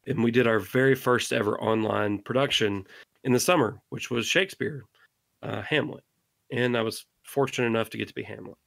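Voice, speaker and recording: A man's voice: mid-range voice, vocal fry, a bit bright, no echo. A middle-aged man speaking as a podcast guest, earnestly urging listeners to keep creating. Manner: impassioned, exhorting listeners. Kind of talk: earnest interview monologue.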